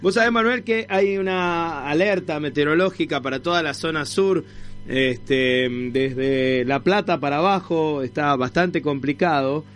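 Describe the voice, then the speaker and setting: A man's voice: a little high, measured pace, fairly bright tone, faint music behind. A man with an Argentine accent hosting a casual radio interview, speaking informally with short interjections. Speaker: radio host.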